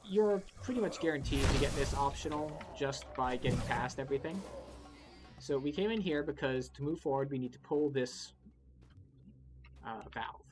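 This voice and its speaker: A man's voice: mid-range voice, animated, normal speed. A man giving casual, wry, explanatory commentary as he guides a video game playthrough. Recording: game music behind the voice. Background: video game music.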